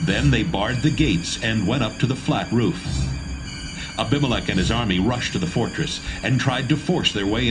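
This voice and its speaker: A man narrating a dramatised Bible reading in a steady, measured way. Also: medium pitch. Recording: narration over music.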